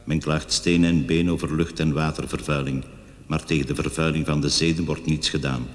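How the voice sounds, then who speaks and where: Very deep, echoing vengeful killer. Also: male voice, steady tone, moderate pace. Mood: righteous, grim. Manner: grandiose, bitter, preaching self-justification. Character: self-righteous vengeful male killer voicing his suicide letter. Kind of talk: radio drama monologue. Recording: long echoing reverb on the voice.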